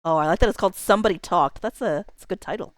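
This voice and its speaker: A woman's voice: fairly low pitch, rapid-fire, no room sound. A woman livestreamer chatting casually with her viewers, thinking aloud in a laid-back, slangy, informal way. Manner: informal, laid-back, slangy, muttering to self while working. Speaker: livestreamer hosting a chatbot roleplay stream.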